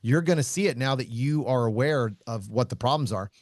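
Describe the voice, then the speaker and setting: A man who hosts a podcast and teaches shooting, talking casually in a loud voice, blunt, laughing often and swearing freely. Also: medium pitch, brisk, no room sound, studio quality. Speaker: shooting instructor and podcast host.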